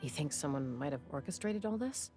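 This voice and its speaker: Low-voiced, composed young peacekeeper. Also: female voice, lively intonation, fairly quick, mellow, soft background music. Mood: calm, serious. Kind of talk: video game character dialogue.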